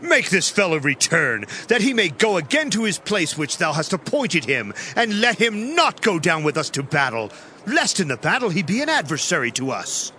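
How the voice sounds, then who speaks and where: A man's voice: high-pitched, very animated, moderate pace, pushed and bright, slight background noise, exaggerated voice. A man playing an angry, scornful Philistine prince in a dramatized scripture reading, declaiming with indignation. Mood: indignant.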